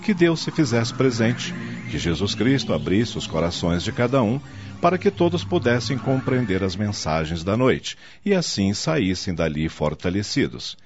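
A man's voice: fairly low pitch, very animated, normal speed. A man narrating a radio soap opera in a dramatic scripted style. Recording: dull lo-fi audio with music.

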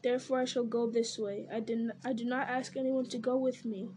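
A woman's voice: on the high side, little pitch movement, mellow, slight background noise, lightly acted. A woman reading aloud and voicing a grim, resolute young male hero who speaks firmly and solemnly. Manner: firm, solemn, measured.

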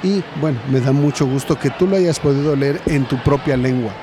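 A man working as a live interpreter in an interview, translating in halting phrases with pauses. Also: medium pitch. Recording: clear street and vehicle noise behind.

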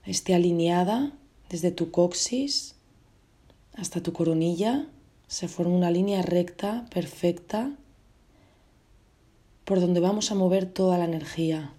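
Woman leading a guided meditation, very soft mellow tone, gentle short phrases w pauses. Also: fairly low pitch.

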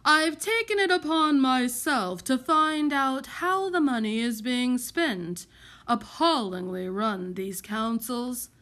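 A woman's voice: sits high, takes it slowly, a bit bright, pitched above the performer's own voice. A woman voicing a pompous, self-important lord in a storybook, blustering with indignation and emphasis. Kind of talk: acted storybook dialogue.

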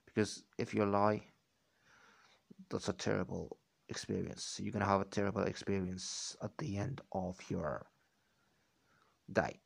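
A man's voice: on the low side, steady tone, a little slow, creaky, pauses often. A man talking unscripted on his own podcast, rambling as he repeats and corrects himself. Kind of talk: unscripted solo talk.

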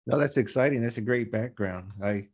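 A man's voice: mid-range voice, a little fast, darkish tone. A middle-aged man hosting a podcast interview, talking in a friendly, conversational way. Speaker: podcast host, university president.

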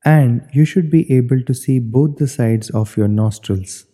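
Very dark-voiced, slow man guiding meditation. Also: medium pitch, slightly rough. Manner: slow, measured, instructional. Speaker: meditation instructor. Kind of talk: guided meditation instruction. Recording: long hollow reverb.

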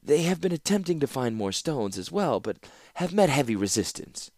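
A man's voice: a little high, big pitch swings, moderate pace, a little mellow, no room sound, clean studio sound, voice pushed up. A man voicing a nervous male court official who stammers and hesitates.

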